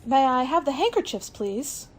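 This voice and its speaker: Woman doing a keen young assistant girl in a radio mystery, high voice that swoops around, polite and naive. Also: big pitch swings, moderate pace, slight background noise. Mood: dutiful. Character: young female assistant, eager, naive and deferential. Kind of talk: amateur radio mystery acting.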